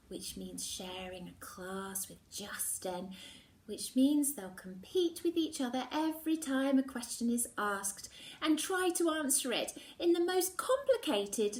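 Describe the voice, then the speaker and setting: A woman teacher reading a novel aloud, expressive and slipping into whispers at tense moments. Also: a little high, far from the mic. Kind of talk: storybook reading.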